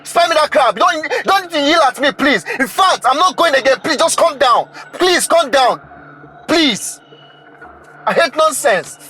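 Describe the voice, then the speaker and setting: A man's voice: extremely high pitch, expressive, measured pace, bright, forceful tone, heavily acted. A man playing a touchy, stubborn driver in a screen drama, protesting repetitively and defensively. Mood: angry, fed up. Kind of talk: naturalistic screen drama acting.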